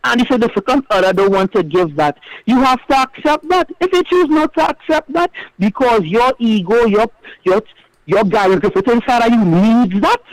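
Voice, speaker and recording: A man with a Trinidadian accent and a loud, big voice, talking emphatically in a casual radio chat as co-host. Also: extremely high pitch, expressive, normal speed, no echo, low-quality recording. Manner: emphatic, insistent.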